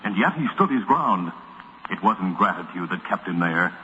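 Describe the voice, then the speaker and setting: A man's voice: sits low, expressive, normal speed, bright, forceful tone, slight background noise. Theatrical American man narrating vintage radio drama. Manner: theatrical, solemn storytelling. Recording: muffled vintage radio recording.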